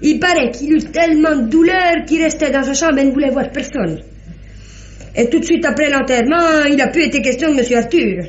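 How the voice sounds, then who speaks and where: A woman's voice: high-pitched, a little fast, a little hiss or hum, close to an everyday voice. An actress playing a chatty, hospitable countrywoman with rustic, folksy speech in an old-fashioned French radio drama. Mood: gossipy, sorrowful. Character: chatty, hospitable peasant woman of the Normandy countryside. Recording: dull, roomy old radio recording.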